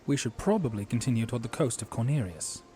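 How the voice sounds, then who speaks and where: A man acting an earnest, mellow fantasy hero. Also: medium pitch, lively intonation, fast talker, faint music behind, close to an everyday voice. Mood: courteous, resigned. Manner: formal, solemn, archaic courtly phrasing. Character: earnest, courteous fantasy hero, troubled leader. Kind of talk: fantasy audio drama acting.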